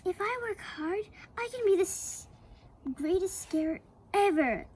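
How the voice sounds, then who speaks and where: A woman's voice: extremely high pitch, lively intonation, unhurried, darkish tone, voice pushed up. A woman voicing an eager young male monster student in a storybook read-aloud, speaking earnestly.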